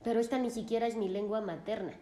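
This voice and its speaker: A woman's voice: medium pitch, fast talker, dark tone, close to an everyday voice. A woman voicing a troubled, self-absorbed adopted young man who speaks in a dramatic, self-pitying and boastful way. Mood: wounded. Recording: roomy echo.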